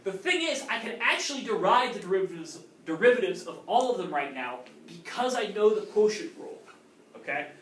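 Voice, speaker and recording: A man's voice: high voice, very animated, fairly quick, a bit bright, slight background noise. A male math teacher lecturing a class, often checking in with "okay?". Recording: roomy classroom audio from a distant camera.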